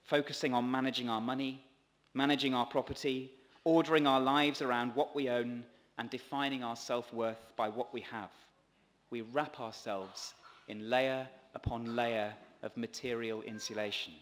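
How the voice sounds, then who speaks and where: A male priest gives a prepared sermon at a lectern microphone. His voice sits at a medium pitch, with careful, measured phrasing. Long reverb from a large stone church follows his words. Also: steady tone, a little hiss or hum. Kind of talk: sermon read from a script. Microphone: gooseneck lectern microphone.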